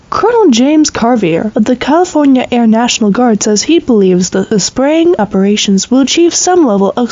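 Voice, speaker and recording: A dry, slightly dull recording of a woman acting as a radio news anchor. Her voice is fairly high and soft-edged, and she reads the bulletin in a composed, serious newsreader manner for an audio drama. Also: no room sound. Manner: crisp newsreader delivery, serious.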